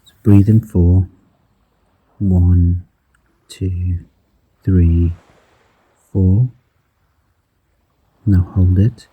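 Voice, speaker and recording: A man guiding a breathing meditation in a slow, soft-spoken manner, counting the breaths aloud and dropping some counts to a whisper. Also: low voice, steady tone, breathy edge, phone-quality sound. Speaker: meditation guide.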